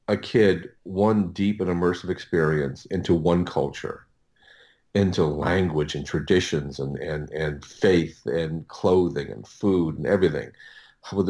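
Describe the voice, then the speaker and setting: A man talking casually as an interview guest, explaining things in a halting way and often repeating his words. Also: sits low, a little mellow. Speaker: interview guest, audio producer.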